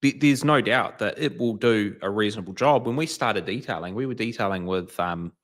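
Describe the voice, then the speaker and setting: A man's voice: lowish voice, fairly quick, fairly bright tone. A man with a New Zealand accent, co-hosting a casual livestream chat about car detailing and reading out viewer comments. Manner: chatty, reads out live comments. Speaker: detailing channel co-host.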